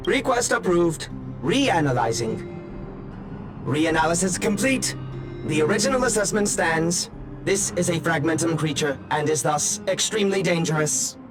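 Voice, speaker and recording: Game role: male actor as dutiful patrol robot, higher register, officious clipped delivery, music behind. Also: lively intonation, moderate pace, close to an everyday voice. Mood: urgent, officious. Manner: formal, clipped procedural phrasing. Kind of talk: video game voice acting.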